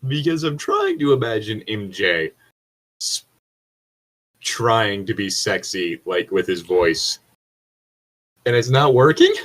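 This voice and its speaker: Man, low voice, casual co-host, story read-along, rambling joking commentary. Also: expressive, slow, long pauses, a bit bright. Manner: rambling, jokey, chuckles at own remarks. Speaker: co-host reading stories aloud and commenting.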